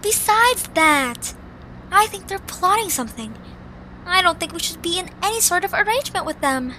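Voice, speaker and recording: A woman voicing a headstrong, earnest and excitable young girl creature in an amateur cartoon audio drama. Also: very high-pitched, normal speed, a little hiss or hum, heavily acted, low-quality recording. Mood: suspicious, serious.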